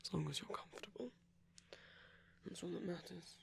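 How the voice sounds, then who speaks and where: A man playing a seductive, protective villain who dotes on a lover in an intimate ASMR roleplay, speaking slowly and soothingly in a breathy near-whisper. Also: sits high, slight background noise. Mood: soothing, tender. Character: seductive, protective male villain doting on his hero lover. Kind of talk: ASMR romantic roleplay audio.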